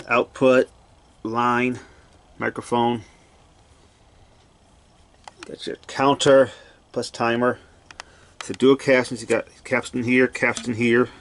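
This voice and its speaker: A man giving a casual hands-on equipment walkthrough in short, clipped, matter-of-fact phrases, recorded indoors on a handheld camera. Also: medium pitch. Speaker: gadget demonstrator. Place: indoors, cluttered home room.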